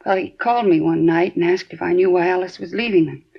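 A woman's voice: fairly low pitch, fast talker, gravelly, husky. An actress playing an anxious middle-aged housewife telling police what she knows, in earnest 1950s radio drama style. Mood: worried. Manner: earnest, worried retelling. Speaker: witness questioned by police. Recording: dull 1950s radio broadcast.

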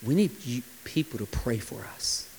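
A man in his forties, a pastor preaching a sermon with impassioned delivery that sometimes drops to a hushed, quiet tone. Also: mid-range voice, animated, moderate pace, slight background noise, rough recording. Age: 40s.

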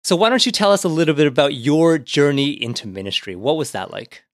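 A man's voice: fairly high pitch, animated, brisk, dry recording, studio quality. A man hosting a podcast, informal and affirming as he interviews in a casual way. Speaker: podcast host.

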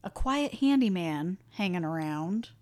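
A woman from the American Midwest co-hosting a casual movie podcast, chatty and quick to laugh. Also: lowish voice, expressive, darkish tone. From Midwestern United States. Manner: chatty, laughs often, self-deprecating. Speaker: podcast co-host. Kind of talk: casual chat.

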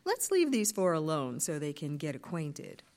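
A woman's voice: deep voice, huge pitch swings, normal speed, a little mellow. A woman playing a tired, worried mother in audio theater, practical and resigned. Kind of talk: comic audio theater acting.

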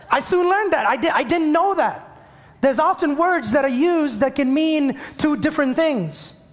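A man's voice: very high-pitched, fairly bright tone, big-room sound. A man from India with an Indian English accent, a pastor giving a chatty, joking sermon to his congregation. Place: church sanctuary.

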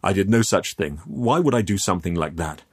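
A man voicing a commanding, menacing older aristocrat, crisp and condescending, with a gravelly edge, in an audiobook story. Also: low-pitched, lively intonation, a little fast. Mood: smooth, condescending.

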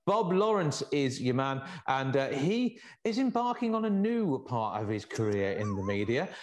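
Male radio host, mid-pitched, upbeat, promotional patter. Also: big pitch swings, moderate pace, a little hiss or hum. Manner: upbeat, plugs events and phone numbers.

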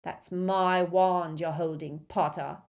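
A woman's voice: on the low side, fairly even intonation, slow pace, a little mellow, lo-fi sound. A woman voicing a haughty, impatient teenage schoolboy rival in an audiobook, with a curt manner. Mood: brittle, haughty. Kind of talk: audiobook character voice.

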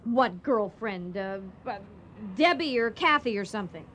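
An actress playing an excitable, vengeful restaurateur in a radio comedy, with a flustered and emphatic manner. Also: female voice, fairly high pitch, normal speed, slight background noise. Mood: indignant. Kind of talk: 1980 radio theater comedy acting.

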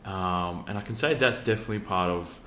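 A laid-back Australian man with a very low voice, chatting as a podcast guest with many ums and pauses. His speech echoes around the room, with a faint hiss underneath. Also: bright, forceful tone. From Western Australia. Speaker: podcast guest, youth worker. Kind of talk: casual interview talk.

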